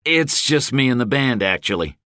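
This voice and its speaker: A man's voice: mid-range voice, moderate pace, no echo, studio quality, pitched above the performer's own voice. A male narrator playing a determined middle-aged father who speaks firmly and steadily to his daughter. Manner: firm, steady.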